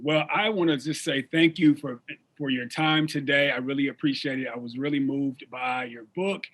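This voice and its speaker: A man's voice: medium pitch, steady tone, normal speed, a bit bright. A man hosting a talk show interview in a conversational style.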